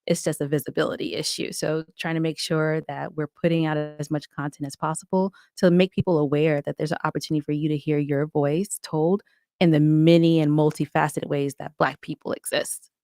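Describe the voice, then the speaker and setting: A woman's voice: deep voice, little pitch movement, fairly quick, dark tone, no room sound, studio quality. A woman giving talkative, explanatory answers as a guest in a conversational podcast interview. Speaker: podcast guest, audio drama creator.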